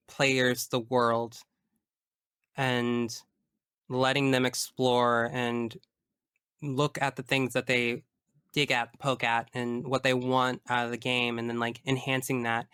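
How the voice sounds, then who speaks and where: A man talks casually as an interview guest, with a bright, smooth voice. He is expressive and emphatic, full of enthusiasm. The sound is dry and close, without room echo. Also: mid-range voice. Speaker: tabletop game master. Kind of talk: casual interview chat about personal experience.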